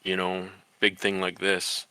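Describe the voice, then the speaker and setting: A man's voice: low voice, completely flat delivery, measured pace, pushed and bright, smooth, dry recording. A man co-hosting a casual commentary chat, stammering over repeated words and giving short agreements. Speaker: co-host.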